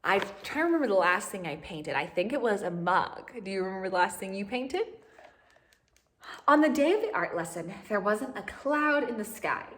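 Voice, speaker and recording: A woman's voice: mid-range voice, big pitch swings, normal speed, fairly bright tone. A woman reading a story aloud to young children, expressively and with asides and questions to the listeners.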